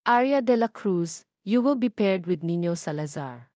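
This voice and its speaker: A woman's voice: on the low side, lively intonation, unhurried, a little mellow, no room sound, clean studio sound. A woman voicing a stern college professor with a firm, authoritative way of talking.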